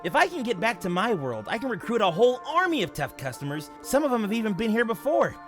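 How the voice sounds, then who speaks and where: A man's voice: sits high, very animated, quick pace. A man playing a young, quippy and nervous male superhero in a comic reading, flippant and jokey. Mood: upbeat, joking. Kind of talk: comic book voice acting. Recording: background music under the voice.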